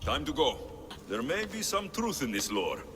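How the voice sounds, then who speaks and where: An actor playing a pragmatic, skeptical mercenary adventurer in a game cutscene, wry and matter-of-fact. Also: male voice, a little high, sing-song, normal speed, sharp tone, big-room sound. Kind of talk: video game cutscene acting.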